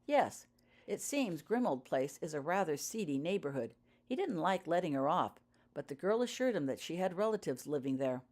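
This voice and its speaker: A woman's voice: sits low, very animated, moderate pace, darkish tone. A woman voicing a hesitant, whispery informant giving clipped, formal reports by phone in an audiobook story. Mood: businesslike.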